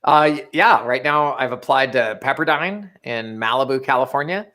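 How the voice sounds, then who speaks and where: Energetic man hosting a live stream. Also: mid-range voice, normal speed. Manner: energetic, reads viewer chat aloud. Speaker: co-host of live motivational show. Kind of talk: casual live-stream chat.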